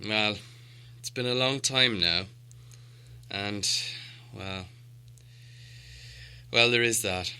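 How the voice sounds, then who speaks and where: A man voicing a proud, gruff dwarf leader in a storybook, haughty and demanding, with a weighty, imperious way of talking. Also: on the low side, very slow, pauses often, pushed and bright, exaggerated voice. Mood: brooding. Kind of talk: storybook character voice.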